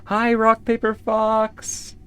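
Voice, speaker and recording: A woman's voice: on the high side, monotone, takes it slowly, fairly bright tone. A woman from the United States streaming a game and chatting casually with her viewers, easygoing and rambling as she answers chat between moves.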